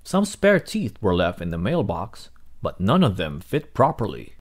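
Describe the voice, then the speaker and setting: Low, very animated male storybook reader. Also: a little slow. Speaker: read-aloud host. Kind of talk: expressive storybook narration.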